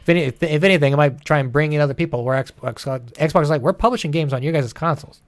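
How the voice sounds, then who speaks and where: A man's voice: on the high side, fast talker, no room sound. A man co-hosting a gaming show in casual chat, joking, swearing and mimicking imagined speakers as he goes. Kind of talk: casual chat about video games.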